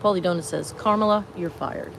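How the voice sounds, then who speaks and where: A woman's voice: fairly low pitch, fairly quick, vocal fry, a little hiss or hum. A woman from New York State with a Bronx accent, chatting casually on a livestream, greeting viewers and laughing often. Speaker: livestream host.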